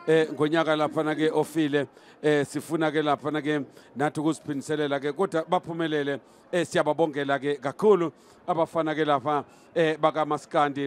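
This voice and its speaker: Man, match commentator, hesitant, fillers, somewhat high voice. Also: slight background noise. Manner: halting, many fillers.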